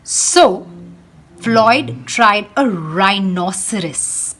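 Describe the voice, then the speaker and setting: Woman teacher reading a storybook to kids, bright husky voice, very slow and expressive. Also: mid-range voice. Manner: speaks directly to young listeners. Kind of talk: reading a picture book aloud to children.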